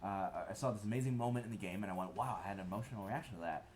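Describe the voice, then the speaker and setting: A man's voice: on the low side, very fast. A man co-hosting a casual podcast stream, telling rambling stories about games. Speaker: podcast co-host. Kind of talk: casual chat over gameplay.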